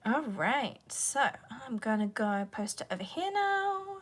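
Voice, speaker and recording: A woman voice actor with a sore, croaky throat, rambling casually to her livestream audience and talking to herself while she fights with her devices. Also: a little high, big pitch swings, slow, fairly bright tone.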